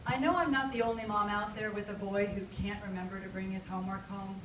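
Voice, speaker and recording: A woman reading a humorous personal essay to an audience, theatrical and emphatic, with deliberate pauses. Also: medium pitch, steady tone. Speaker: writer reading own essay. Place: live reading at an event. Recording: echoey, distant live recording with loud noise.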